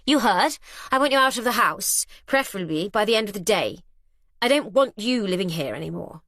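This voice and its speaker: A woman's voice: fairly high pitch, measured pace, a bit bright, dry recording, clean studio sound, voice pushed up. A woman reading an audiobook, voicing a haughty, arrogant young woman who talks down with contempt. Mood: imperious. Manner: imperious, contemptuous. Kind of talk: audiobook character voice.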